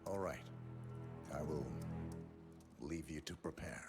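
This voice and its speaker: A terse, very deep-voiced assassin. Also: male voice, takes it slowly. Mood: curt. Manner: clipped, flat, few words. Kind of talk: game cutscene dialogue. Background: loud cutscene music.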